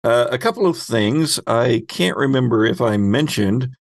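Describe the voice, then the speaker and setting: A man with a creaky, gravelly voice hosts a gaming podcast. He talks casually and jokingly, rambling a little, in a close, dry recording. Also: medium pitch, expressive, moderate pace, no room sound. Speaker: podcast host and game master. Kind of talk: casual podcast intro chat.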